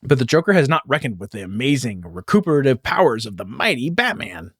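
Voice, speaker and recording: A man puts on a pulpy superhero comic narrator at a medium pitch. He reads each caption with melodrama, in a dry, close recording. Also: big pitch swings, fast, slightly rough, no room sound. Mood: dramatic. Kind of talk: melodramatic read-aloud narration.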